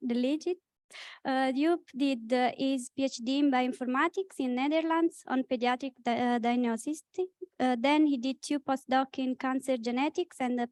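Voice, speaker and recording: Woman, session chair, halting intro with uhs, slightly high voice, dry. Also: no room sound. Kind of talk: introducing a speaker. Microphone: video call.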